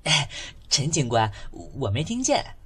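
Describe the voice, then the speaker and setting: A woman's voice: low-pitched, very animated, measured pace, fairly bright tone, breathy edge, heavily acted. A woman voicing a streetwise, protective, hot-tempered young man in an audio drama, blunt and emotional. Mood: pleading. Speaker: voice actor.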